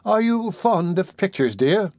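A man's voice: sits high, sing-song, a little slow, a little mellow, dry recording, voice pushed up, full character voice. Give me a kindly, bustling old housekeeper woman, as a male reader puts her on, raised and lilting, with a dry sound. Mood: motherly. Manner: good-humoured, motherly, bustling.

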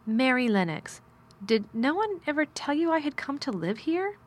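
A curious, puzzled young girl, acted by a woman narrator from a storybook. Her pitch sits high, and she is forthright and direct, full of questions. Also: very animated, moderate pace. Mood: matter-of-fact. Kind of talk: audiobook character dialogue.

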